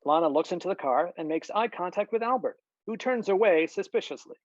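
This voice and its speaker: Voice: medium pitch, animated, brisk, no room sound, highs cut off. A narrator reading screenplay stage directions for a table read over a video call.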